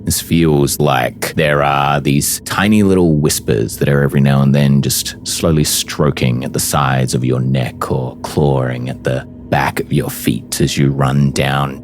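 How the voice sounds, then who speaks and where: A man's voice: a bass voice, steady tone, unhurried, few pauses, faint music behind. A man speaking as a game master, narrating a tabletop game with descriptive, dramatic storytelling.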